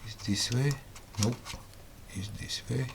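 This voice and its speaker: A man's voice: medium pitch, unhurried, vocal fry, background noise. A man hosting a casual hands-on product review, speaking English with non-native phrasing. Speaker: host of a gadget review channel. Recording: loud music behind the voice.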